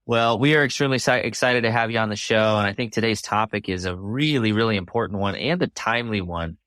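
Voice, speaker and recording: A man's voice: fairly low pitch, quick pace, fairly bright tone, smooth, no room sound, clean studio sound. A man hosting a podcast, talking in a conversational interview style. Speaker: podcast host.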